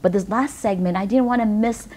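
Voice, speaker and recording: A woman's voice: mid-range voice, brisk, darkish tone. An American woman hosting a community TV talk show, chatty and upbeat, using lots of "you know"s. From United States. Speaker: TV show host.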